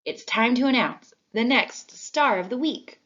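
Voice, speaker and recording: Woman reading as an encouraging schoolteacher, raised pitch. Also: animated, a little slow, a bit bright, highs cut off. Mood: proud, encouraging. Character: encouraging female schoolteacher making proud announcements.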